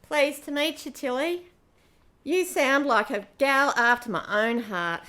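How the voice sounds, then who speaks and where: A woman acts a roguish space pirate queen from a radio play. She is brash and brassy, speaks casually in a fairly high voice and swears freely. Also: unhurried, pushed and bright. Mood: businesslike, friendly. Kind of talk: amateur audio drama acting.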